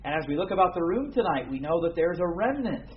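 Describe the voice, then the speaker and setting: A man from the United States preaching a sermon to an evening gathering, earnest and formal, pausing often with fillers. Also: a little high, sing-song. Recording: dull lo-fi recording with faint room noise.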